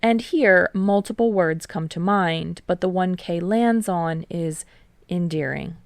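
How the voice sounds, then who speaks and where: A woman's voice: fairly low pitch, slow pace, creaky, dry recording, studio quality. A woman narrating a scripted piece of fiction as an amateur audiobook reader.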